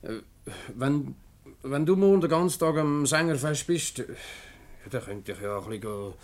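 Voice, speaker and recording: An actor playing an evasive husband in a Swiss German radio comedy, hesitant and stammering. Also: male voice, mid-range voice, animated, normal speed, lightly acted. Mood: sheepish, evasive. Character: sheepish, evasive flirtatious husband.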